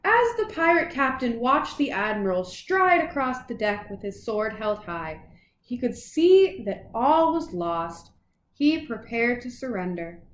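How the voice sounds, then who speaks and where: Woman, high voice, expressive children's storybook read-aloud, dramatic phrasing, roomy echo. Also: a little slow.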